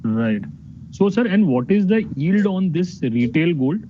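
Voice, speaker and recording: A man's voice: high-pitched, very animated, unhurried, mellow. An Indian man, a financial analyst, politely probing management with questions on an earnings call. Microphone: conference call line. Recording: dull noisy conference phone line.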